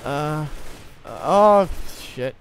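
A young man doing casual gameplay commentary from a small room at home, his voice going hoarse, rambling and joking at himself with sudden loud laughing outbursts. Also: fairly high pitch, lively intonation, drawn-out words, slight background noise. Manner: rambling, self-mocking, bursts into loud laughing exclamations. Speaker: gaming YouTuber.

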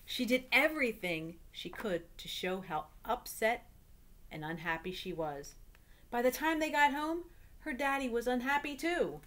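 A middle-aged woman reads a picture book to children. Her voice is bright and moves up and down expressively. She speaks as a storyteller, recorded a short way from the mic in a room at home. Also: a little high, animated, a little slow. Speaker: read-aloud storyteller.

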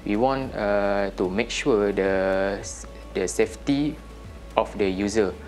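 Young male interviewee, Malaysian accent, low and hesitant. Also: a little mellow, soft background music. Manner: halting. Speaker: interviewee, trainee. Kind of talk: interview answers.

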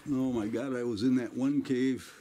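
A man's voice: mid-range voice, steady tone, normal speed, mellow. Chatty man on tour, noisy birds behind. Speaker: tourist. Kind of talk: casual chat.

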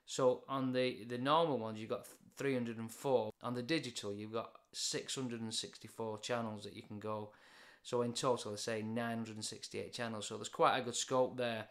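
A man's voice: mid-range voice, steady tone, normal speed, few pauses. A British man in his forties explains things in a plain, matter-of-fact way, as in an informal product review.

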